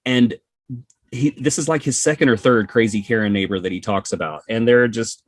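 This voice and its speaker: A man's voice: mid-range voice, moderate pace, no room sound. A man chatting casually as a podcast guest, with sarcastic, joking asides. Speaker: podcast guest, hobby content creator. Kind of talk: casual chat.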